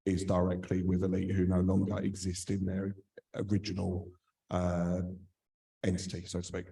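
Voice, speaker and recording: A man's voice: deep voice, dark tone. A man losing his voice, answering questions at a meeting in a careful, businesslike way. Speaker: managing director. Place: meeting hall. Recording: echoey hall from far off.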